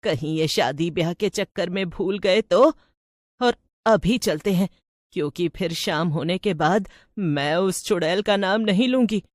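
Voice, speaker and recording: A woman's voice: on the low side, unhurried, no echo, clean studio sound, close to an everyday voice. A woman voicing a cautious, superstitious older village woman in a storybook tale, anxious and colloquial. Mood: fearful.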